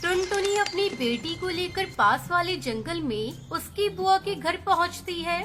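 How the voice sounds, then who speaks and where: A woman's voice: very high voice, expressive, measured pace. A woman reading scripted narration for a children's animal story. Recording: music behind the voice.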